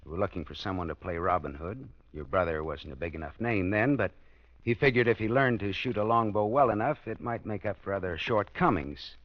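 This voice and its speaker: An actor playing a wry, tough private detective who narrates in a 1940s radio drama. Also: male voice, animated, a little fast, no room sound, lightly acted. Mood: dry. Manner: dry, wry, low-key. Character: wisecracking male private detective, dry and tough. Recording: dull lo-fi old radio recording.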